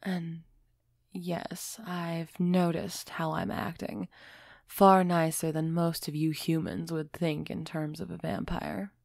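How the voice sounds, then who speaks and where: A woman playing a refined, centuries-old female vampire in an intimate audio roleplay, gently imperious and softly teasing as she speaks straight to the listener. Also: low voice, flat delivery, creaky, no room sound, studio quality, full character voice. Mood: gracious, caring. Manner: slow, measured, softly teasing, addressing listener directly.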